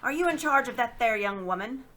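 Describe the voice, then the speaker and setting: A woman voicing a stern, self-important male police constable in a storybook, brisk and authoritative. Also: fairly high pitch, animated, sharp tone, pitched above the performer's own voice. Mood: stern, officious.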